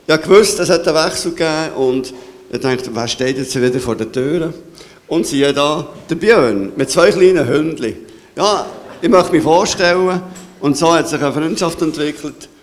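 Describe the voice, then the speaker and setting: Man, swiss german accent, pastor, church service, chatty halting questions, slightly high voice. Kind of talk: church service interview and prayer.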